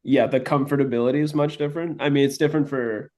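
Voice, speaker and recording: A man's voice: medium pitch, steady tone, quick pace, mellow. A man, a band's guitarist, answering interview questions in a laid-back, loose way with casual swearing.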